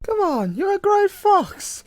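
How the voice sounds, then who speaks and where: A woman's voice: extremely high pitch, sing-song, slow pace, no echo, clean studio sound, full character voice. A woman voicing a doting, clingy animatronic caretaker who coos and coaxes in baby talk for a comic dub. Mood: coaxing, teasing. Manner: cooing baby talk, coaxing. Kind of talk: amateur comic dub voice acting.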